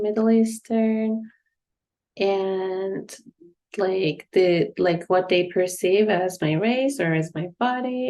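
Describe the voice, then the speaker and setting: A woman's voice: mid-range voice, creaky, dark tone. A middle-aged woman from Turkey with a Turkish accent, a guest author answering an academic question in a reflective way, with many fillers and hedges.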